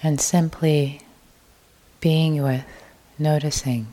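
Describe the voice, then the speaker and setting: A woman meditation teacher leading a guided meditation in a gentle, hushed way, leaving long pauses. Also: low-pitched, fairly even intonation.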